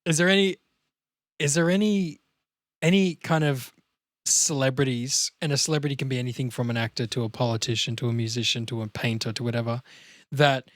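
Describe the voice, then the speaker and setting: A man's voice: mid-range voice, fairly even intonation, fairly quick, no room sound, clean studio sound. A man chatting casually as a podcast co-host, informal and bantering with plenty of slang.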